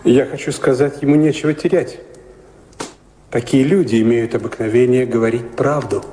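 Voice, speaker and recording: A man's voice: mid-range voice, steady tone, moderate pace, mellow, natural voice. An actor playing a courteous, cautious manservant in a radio play, hesitant and apologetic with his mistress. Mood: worried, cautioning. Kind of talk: radio play stage acting. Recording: echoey hall, faint background noise.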